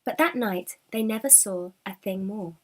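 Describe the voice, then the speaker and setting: A woman narrating a children's storybook in an expressive, dramatic, deliberate storytelling manner. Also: mid-range voice.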